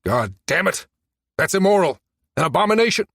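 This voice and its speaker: A man voicing a stern, easily outraged national security director in an audiobook, clipped and forceful. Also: high-pitched, very animated, a little fast, no echo, studio quality, pitched above the performer's own voice, heavily acted.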